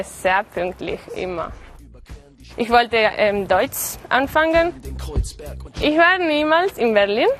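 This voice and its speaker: A young woman with a Spanish accent, introducing herself simply. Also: on the high side. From Barcelona, Spain. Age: young adult. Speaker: student contestant. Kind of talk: casual self-introduction. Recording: music bed underneath.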